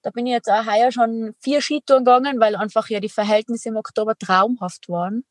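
A woman's voice: on the high side, fairly even intonation, brisk, no room sound. Upbeat Tyrolean woman, dry close sound. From Tyrol, Austria. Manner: chatty and upbeat, laughs often, many "äh" fillers. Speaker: guest, extreme athlete and entrepreneur. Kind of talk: casual interview chat.

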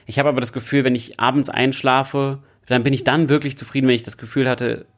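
A man's voice: mid-range voice, flat delivery, brisk. A young man chats casually as a podcast co-host, with a playful, self-mocking manner. His voice sits in an ordinary middle register for a man. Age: young adult. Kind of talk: casual chat.

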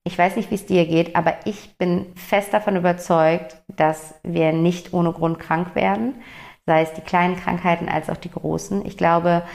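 A woman talking calmly and gently to the listener in a reflective self-help monologue, her voice slightly hoarse. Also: on the low side, moderate pace. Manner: calm, gentle, addresses the listener directly.

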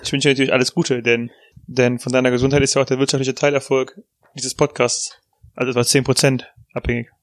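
A man's voice: mid-range voice, little pitch movement, fast talker, no echo. Dry, teasing man on a close mic. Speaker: podcast host. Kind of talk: casual banter.

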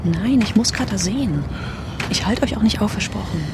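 A woman playing a determined, loyal young climate activist in an audio drama, earnest and hushed. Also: mid-range voice, lively intonation, normal speed, natural voice. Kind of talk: naturalistic audio drama acting. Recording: clear vehicle and background noise.

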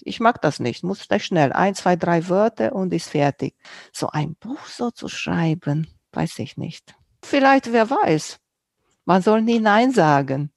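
Romanian-accented woman, lowish voice. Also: lively intonation, a little slow, creaky, darkish tone, no echo, clean studio sound. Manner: loose, searching sentences with non-native grammar. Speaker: podcast host, guest on another podcast. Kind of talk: casual interview chat.

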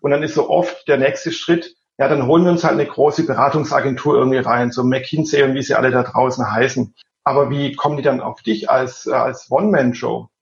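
Gravelly Swabian man hosting a podcast. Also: mid-range voice, fairly even intonation, brisk, slight background noise. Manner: conversational, asks questions. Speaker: podcast host. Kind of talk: podcast interview. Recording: dull roomy recording.

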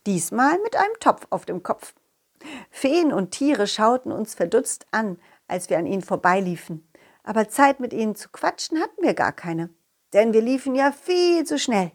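A woman's voice: a little high, normal speed. A woman voicing a cheerful, confident, loyal talking dog who tells his adventures playfully and expressively in a children's audio play. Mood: cheerful, narrating. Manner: playful, expressive, addressing a companion.

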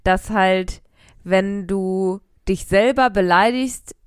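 A woman's voice: mid-range voice, slow pace, clear, resonant tone, dry recording. A young woman with a cold, chatting casually as a podcast co-host at a close mic, rambling with fillers and frequent laughs. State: has a cold. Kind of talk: casual chat. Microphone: close studio mic.

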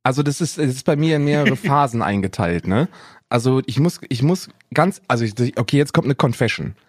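A man's voice: medium pitch, lively intonation, fairly quick, no room sound, studio quality. A young adult man co-hosting a podcast in casual chat, rambling on and tagging his lines with "ne?" and "Bruder". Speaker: podcast co-host.

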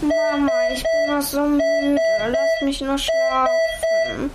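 A woman's voice: high-pitched, level tone, slow. A young female performer playing a cheeky schoolgirl in an amateur children's radio play. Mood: sleepy, whiny. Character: sleepy, cheeky schoolgirl who is easily bored. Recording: roomy sound under loud music.

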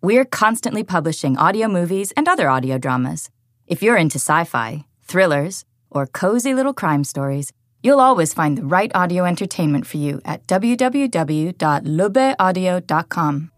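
A woman's voice: on the low side, sing-song, moderate pace, no echo, studio quality. A woman reading a scripted promotional announcement as an announcer.

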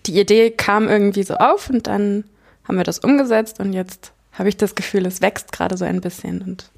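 A woman's voice: medium pitch, fairly quick, vocal fry. A woman of twenty-four, chatting casually on a podcast and laughing easily. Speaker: podcast co-host. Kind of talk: casual chat.

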